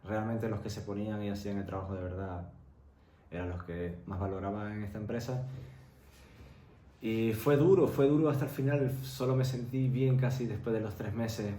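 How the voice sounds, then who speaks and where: A young man telling a candid personal story in a reflective, halting way. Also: lowish voice, dark tone. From Venezuela-born, grew up in Tenerife, Spain. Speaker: vlogger. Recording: roomy echo, faint background noise.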